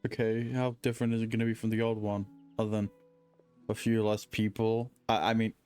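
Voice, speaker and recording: A man's voice: fairly low pitch, completely flat delivery, brisk, a little mellow, clean tone. A man streaming a video game, reading along and reacting casually. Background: game music.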